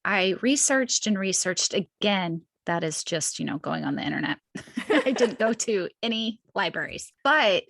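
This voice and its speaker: A woman's voice: medium pitch, lively intonation, unhurried, gravelly, fairly bright tone, dry recording. Chatty, emphatic woman podcaster, dry close sound. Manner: informal, chatty, emphatic with repetition. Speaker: podcast co-host. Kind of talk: casual storytelling chat.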